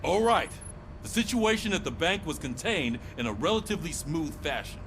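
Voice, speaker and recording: Animated, commanding police sergeant. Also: male voice, a little high, background noise. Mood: businesslike, firm. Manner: authoritative, brisk orders. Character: gruff, commanding male police sergeant giving briefing. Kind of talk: video game voice acting.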